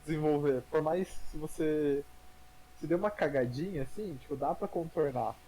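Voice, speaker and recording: A Brazilian streamer chats casually while reacting to a game. His voice is a bit high for a man, and he talks in loose, slangy, joking bursts. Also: a little fast, mellow, a little hiss or hum, low-quality recording. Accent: Brazilian Portuguese.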